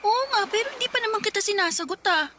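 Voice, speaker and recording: Very high-pitched teenage Filipina. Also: female voice, expressive, fast talker, bright, forceful tone, a little hiss or hum, heavily acted. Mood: coy. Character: rebellious, defensive teenage schoolgirl daughter. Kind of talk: Filipino radio drama acting. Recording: music behind the voice.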